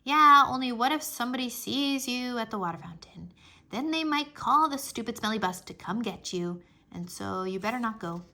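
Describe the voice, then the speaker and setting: A woman voicing a bossy, excitable little kindergarten girl in a children's storybook, with a cheeky, emphatic, childlike delivery. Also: fairly high pitch, normal speed. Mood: fretful. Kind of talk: children's storybook read-aloud.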